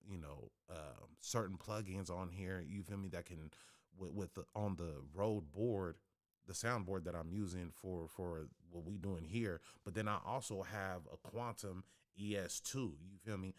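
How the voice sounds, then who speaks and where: A man hosting a livestream from his home studio, talking casually and bluntly with lots of profanity and slang in a deep, bassy voice on a studio microphone. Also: measured pace. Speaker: livestream host. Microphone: studio podcast microphone.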